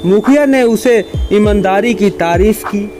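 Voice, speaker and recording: A man reading scripted moral stories for children in a simple, measured storybook manner. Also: high voice, over music.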